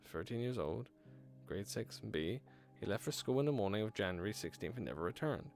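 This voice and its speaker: A young man streaming a horror game, reading its text aloud and bantering with chat, swearing and laughing as he goes, on a headset mic in a small room. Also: fairly low pitch.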